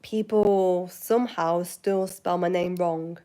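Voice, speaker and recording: A woman's voice: fairly low pitch, steady tone, takes it slowly, dark tone. A woman from West Yorkshire with a Yorkshire accent, hosting her channel and chatting casually with viewers, rambling with many fillers and restarts. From West Yorkshire, England. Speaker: channel host. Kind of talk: casual chat answering viewers.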